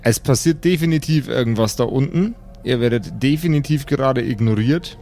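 A man narrating an improvised tabletop game as game master, in a measured, suspenseful way with dramatic pauses. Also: medium pitch, dark tone.

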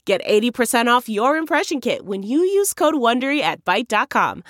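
A woman's voice: fairly high pitch, very animated, normal speed, dry recording, studio quality. A woman announcer delivering a scripted commercial. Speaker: advertisement announcer.

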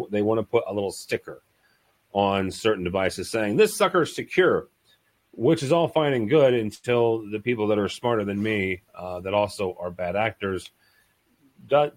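A man hosting a panel podcast, making jokey, upbeat introductions and reading out news items. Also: fairly low pitch. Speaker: podcast host.

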